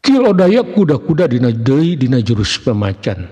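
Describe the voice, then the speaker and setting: Male narrator, Sundanese accent, husky voice, animated intonation, theatrical folk-tale delivery, long echoing reverb. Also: medium pitch, normal speed. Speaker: storyteller. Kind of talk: dramatic scripted narration of a folk mystery tale.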